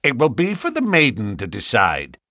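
A man's voice: mid-range voice, wildly swooping pitch, moderate pace, pushed and bright, no room sound, full character voice. A man plays a vain young suitor with a nasal voice and a smirking, self-satisfied manner. The sound is dry and close. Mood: smug.

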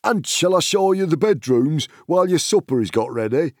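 A man's voice: on the high side, animated, moderate pace, a little mellow, no room sound, studio quality, voice pushed up. A man voicing an eager, cheery, deferential hobbit servant in a fantasy audiobook.

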